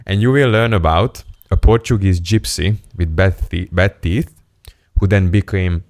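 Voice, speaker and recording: A young man from Hungary speaks English in a very deep, low register. He talks to the camera in a playful, self-mocking and candid way, like a casual vlogger. The sound is dry and close, with no room echo. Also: unhurried, darkish tone. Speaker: self-improvement YouTuber. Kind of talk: casual storytelling monologue to camera.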